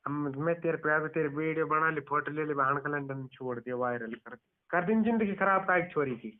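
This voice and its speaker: A man's voice: fairly high pitch, fairly quick. A man with a Mewati accent having a casual, teasing chat over a telephone. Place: phone call. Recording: muffled telephone call recording.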